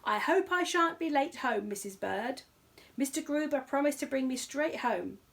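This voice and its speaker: Storybook bear, played by a woman: young male, earnest, courteous, firm, deliberate delivery, raised pitch. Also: expressive, creaky. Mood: cheerful, polite.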